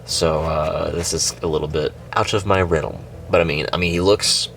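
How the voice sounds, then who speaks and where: Deep-voiced congested man, excited and chatty. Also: moderate pace, a little hiss or hum. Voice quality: nasal. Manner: chatty, excited, rambling with fillers. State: sick and congested. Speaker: toy reviewer, channel host. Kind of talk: casual unboxing review.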